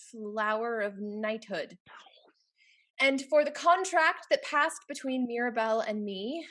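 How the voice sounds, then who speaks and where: Bright-voiced, poised young heiress played by a woman. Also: a little high. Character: poised, clever young heiress feigning obedience. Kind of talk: scripted stage comedy acting.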